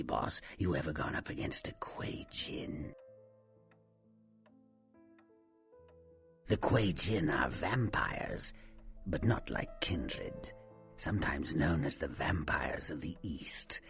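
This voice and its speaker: A man's voice: rumbling low, creaky, fairly bright tone, exaggerated voice. A man playing a sly, taunting Nosferatu vampire and faded film star in a video game, theatrical and teasing, often dropping into a menacing whisper. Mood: sly, knowing. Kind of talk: video game character acting.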